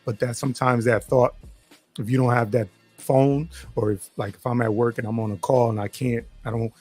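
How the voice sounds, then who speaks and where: A man's voice: medium pitch, steady tone, a little fast, darkish tone, husky, no room sound, soft background music. A man hosting an interview, asking long, rambling questions with many restarts. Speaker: podcast host.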